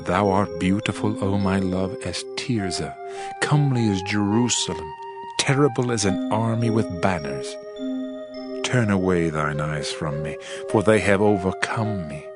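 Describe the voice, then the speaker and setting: A man's voice: low-pitched, measured pace. A man playing a tender, adoring bridegroom in a dramatized scripture reading, solemn and poetic, with music behind him. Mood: solemn adoration. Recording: loud music under the voice.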